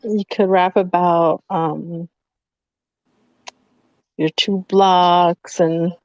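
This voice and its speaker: Late-thirties woman co-host, slow easy delivery, dry slightly dull sound. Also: on the low side, fairly even intonation, a little mellow, no echo. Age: 30s. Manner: laughs often, easygoing, unhurried. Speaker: livestream co-host. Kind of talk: casual livestream chat.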